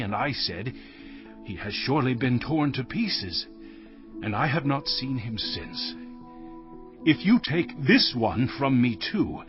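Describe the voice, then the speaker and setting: An actor playing an earnest, humble grown son pleading before a lord in a dramatized scripture recording. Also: male voice, medium pitch. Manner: pleading, deferential, emotive. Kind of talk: dramatized scripture audio acting. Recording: slightly dull recording under music. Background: clear background music.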